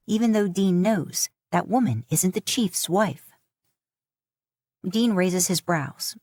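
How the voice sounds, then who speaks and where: A woman reading a story aloud in a calm, steady narration. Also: fairly low pitch, dry recording, clean studio sound. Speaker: podfic narrator. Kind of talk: scripted audiobook narration.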